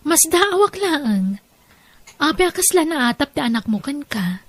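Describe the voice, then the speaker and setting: Actress as kind young Ilocano woman, audio drama; high voice, unhurried, tender delivery. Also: very animated, no room sound. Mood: warm.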